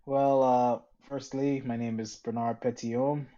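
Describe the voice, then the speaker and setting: A man talks as a guest filmmaker on a podcast. His voice is mellow and warm, and he speaks in a measured, slightly formal way with fillers. The recording is a little dull and lo-fi. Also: mid-range voice, level tone. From Bahamas. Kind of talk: casual podcast chat.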